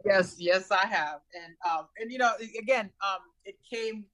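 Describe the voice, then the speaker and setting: A woman's voice: mid-range voice, normal speed, muffled recording. A woman in her forties from Haiti, a guest on an interview show, talking about her career in an enthusiastic, chatty way with frequent laughs. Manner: warm, enthusiastic, laughs often, many fillers. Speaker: guest, cybersecurity company founder. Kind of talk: conversational interview.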